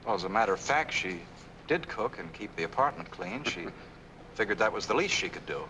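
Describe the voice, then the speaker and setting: An actor playing an earnest, lovestruck young American man, gentle and protective, in a 1940s Hollywood film. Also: lowish voice, lively intonation, fairly quick, background noise, close to an everyday voice. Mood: earnest, smitten. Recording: old film soundtrack, music behind.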